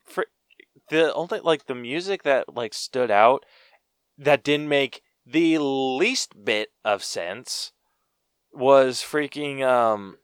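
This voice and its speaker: Slow, smooth-voiced podcast co-host, a man. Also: medium pitch, dry recording, clean studio sound. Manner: relaxed, with frequent fillers and mild swearing. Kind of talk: casual movie review chat.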